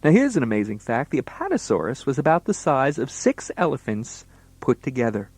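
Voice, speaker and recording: A man's voice: mid-range voice, sing-song, a little fast, a little mellow, breathy edge, no echo. A man working as a children's entertainer, playing the straight man in comedy banter and explaining things to young listeners.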